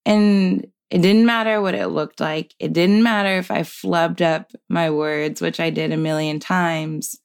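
A woman in her twenties, a podcast guest, speaking thoughtfully and in a measured way, with soft laughs between her points. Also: low voice, vocal fry, smooth. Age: 20s. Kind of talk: reflective conversational interview.

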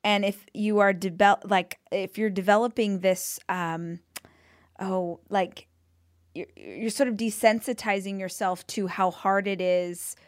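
A woman's voice: medium pitch, fairly even intonation, unhurried, no echo, clean studio sound. Enthusiastic woman podcast host. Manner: enthusiastic, reflective interviewer. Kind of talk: conversational interview.

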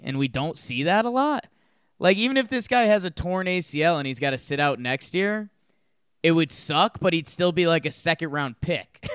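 A man's voice: fairly high pitch, a bit bright, clear, resonant tone, no room sound, clean studio sound. A man co-hosting a sports podcast, rambling through football talk with plenty of ums and likes. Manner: rambling, with fillers and laughs. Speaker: podcast co-host. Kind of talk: casual sports chat.

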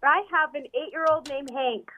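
A woman's voice: high voice, steady tone, moderate pace, sharp tone. A woman calling in to a radio show, chatting casually. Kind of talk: casual phone-in chat. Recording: very noisy phone-in call.

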